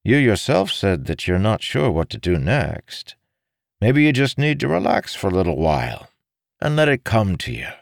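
A man voicing an easygoing monk in an audiobook story, wry, relaxed and playfully teasing. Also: sits low, moderate pace, creaky, dry recording, studio quality, pitched above the performer's own voice, full character voice.

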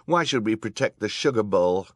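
A man voicing a calm, clever young boy, earnest and matter-of-fact, in a storybook reading. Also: fairly low pitch, normal speed, no echo, clean studio sound, pitched above the performer's own voice. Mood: calm resolve.

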